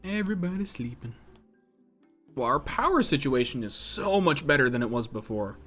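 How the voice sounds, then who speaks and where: A man's voice: mid-range voice, very animated, normal speed, pushed and bright. A man giving casual live gameplay commentary, chatting with viewers and thinking aloud in a self-deprecating way. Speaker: game streamer. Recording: music behind the voice.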